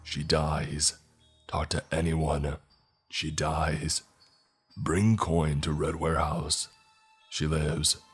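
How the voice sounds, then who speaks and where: A man plays a cold, terse kidnapper from a horror story, with an extremely low, almost inhuman voice. The commands come slowly in short, ominous pieces. Faint music sits underneath. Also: level tone, breathy edge, full character voice. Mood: cold menace. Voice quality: deep. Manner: slow, clipped, ominous commands. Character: menacing anonymous male kidnapper on the phone, terse, inhuman.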